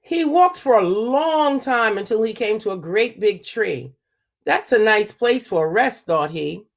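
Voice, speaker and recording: A woman reading a picture book aloud to children, slow and expressive, pausing to ask them questions. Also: medium pitch. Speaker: children's story reader. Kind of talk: read-aloud storytelling for children.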